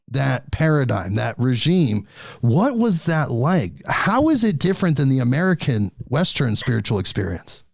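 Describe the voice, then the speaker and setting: A man's voice: mid-range voice, creaky, darkish tone, dry recording, studio quality. A man hosting a podcast, talking earnestly and with enthusiasm in a casual spiritual conversation. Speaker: podcast host.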